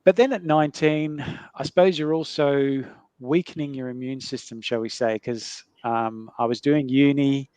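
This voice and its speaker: A man's voice: medium pitch, fairly even intonation, moderate pace, darkish tone, clear, resonant tone, dry recording. Rambling middle-aged man. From Netherlands. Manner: rambling, many fillers and restarts, laughs between remarks. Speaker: guest sharing his health story. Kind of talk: casual conversational storytelling.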